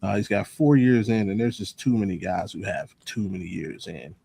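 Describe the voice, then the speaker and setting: A man's voice: sits low, moderate pace, creaky, dark tone, breathy edge. A man on a sports talk panel, casually reasoning through his opinions off the cuff in an analytical way.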